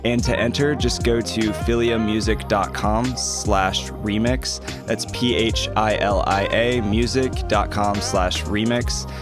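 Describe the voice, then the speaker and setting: A man's voice: lowish voice, fairly even intonation, measured pace, hardly any pauses, music in the background. An American man hosting a podcast, talking casually in an informal, slangy, chummy way. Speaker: podcast host.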